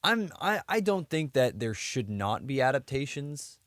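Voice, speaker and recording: A man's voice: medium pitch, very animated, measured pace, a bit bright, no echo, clean studio sound. A man co-hosting a podcast, chatting casually and giving opinions in a rambling, conversational way. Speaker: podcast co-host.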